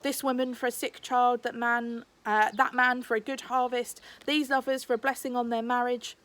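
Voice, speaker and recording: A woman's voice: fairly high pitch, level tone, moderate pace, a bit bright. A woman narrating a children's storybook aloud, like a teacher reading to a class.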